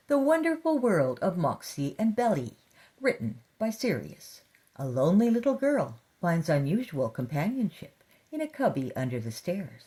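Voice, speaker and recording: A woman's voice: deep voice, a little mellow. A woman hosting a horror fiction podcast, reading a scripted introduction in a theatrical, playfully sinister manner. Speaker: podcast host.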